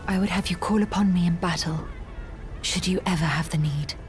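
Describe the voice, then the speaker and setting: An actress playing an earnest, stoic young swordswoman in a fantasy game, formal and measured, with breathy phrase endings. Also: lowish voice, soft background music, close to an everyday voice. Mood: earnest, resolute. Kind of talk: dramatic fantasy game acting.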